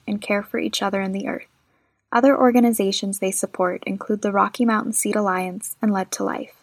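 A woman's voice: medium pitch, no room sound. Woman reading a sponsor message, dry. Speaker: sponsor announcer. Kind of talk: scripted sponsor read.

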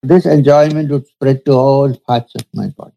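A man, a spiritual teacher, telling a story from his past in a slow, measured, reflective way during an interview. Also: medium pitch, fairly even intonation, gravelly, mellow, dull audio. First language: Urdu.

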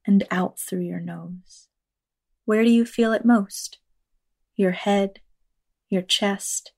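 Slow-paced woman guiding a meditation. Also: medium pitch, darkish tone. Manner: measured, unhurried, with long pauses. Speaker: meditation guide, actor and teaching artist. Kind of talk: guided meditation, slow scripted narration.

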